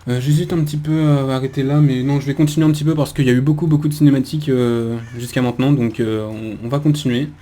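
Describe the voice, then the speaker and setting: A man's voice: mid-range voice, steady tone, quick pace, hardly any pauses, dark tone. A man giving casual game commentary as he plays, hesitant and musing aloud. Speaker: gamer, let's player.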